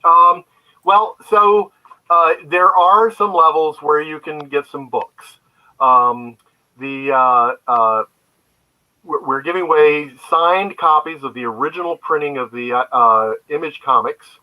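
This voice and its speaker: A man speaking as a creator guest in an interview on an online call, telling stories conversationally in a halting way. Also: fairly high pitch, highs cut off. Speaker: guest, comic book creator. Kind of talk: conversational interview answers, storytelling. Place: remote online video call.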